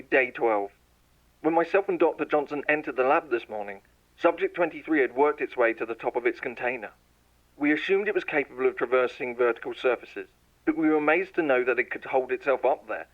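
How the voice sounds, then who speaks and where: A man playing a scientist dictating lab test logs in a measured, clinical way, in a scripted horror audio story. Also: mid-range voice, muffled recording. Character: male lab scientist logging tests, growing frightened.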